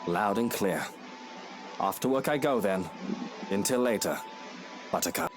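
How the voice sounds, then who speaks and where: An actor playing a suave aristocratic husband in a visual novel, speaking formally with earnest, coaxing phrasing. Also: male voice, on the low side, lively intonation, unhurried, over music. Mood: warm, playful. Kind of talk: scripted visual novel voice acting.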